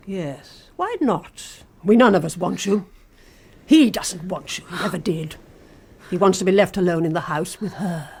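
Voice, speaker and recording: An actress playing an obsessive, menacing housekeeper in a radio drama, sneering and insistently coaxing. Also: female voice, lowish voice, darkish tone. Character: obsessive, menacing housekeeper, devoted to her dead mistress.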